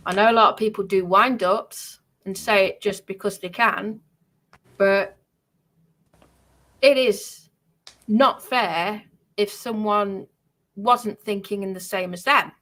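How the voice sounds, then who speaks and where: A woman's voice: mid-range voice, slow, long pauses, a bit bright. Aggrieved, emphatic woman talking on a livestream. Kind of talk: aggrieved personal talk on a livestream.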